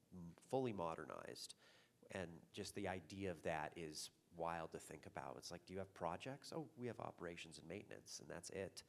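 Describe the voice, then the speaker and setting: A man speaking as a panelist at a conference, friendly and rambling, with frequent fillers. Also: low voice. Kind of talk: conference talk.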